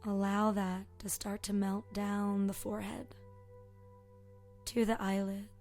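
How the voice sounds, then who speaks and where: Woman doing guided meditation, really slow n soft, soothing, kinda breathy, faint bowl music behind. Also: medium pitch, monotone. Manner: soft, soothing, gentle, with long pauses. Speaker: yoga and meditation teacher. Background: soft meditation music with singing bowl tones.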